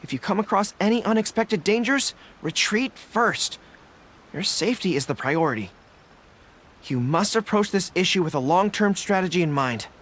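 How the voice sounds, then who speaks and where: A man's voice: high-pitched, lively intonation, normal speed, a bit bright. A man playing a dutiful young army general in a video game, speaking formally and politely with a commanding manner. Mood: firm, concerned. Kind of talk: English video game voice acting. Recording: background music under the dialogue.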